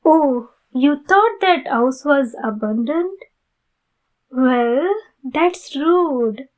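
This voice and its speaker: A woman playing a polite, pleading, youthful-looking vampire in an ASMR roleplay, speaking formally and courteously with many pauses. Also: high-pitched, expressive, heavily acted. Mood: polite, demure. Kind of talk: ASMR roleplay addressed to the listener.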